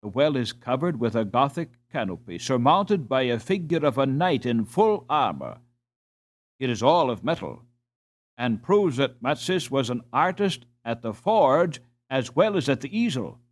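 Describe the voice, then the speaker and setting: A man voicing a courteous, cultured gentleman host who explains art in a formal, enthusiastic manner. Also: mid-range voice, big pitch swings, unhurried. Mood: genteel enthusiasm.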